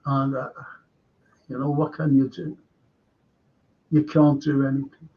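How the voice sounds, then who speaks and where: A man's voice: medium pitch, level tone, measured pace. An older man with a Liverpool accent, hosting a radio chat show and telling rambling local history stories. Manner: rambling storyteller, acts out quoted voices. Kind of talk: casual radio chat, reading listener messages. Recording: dull lo-fi sound.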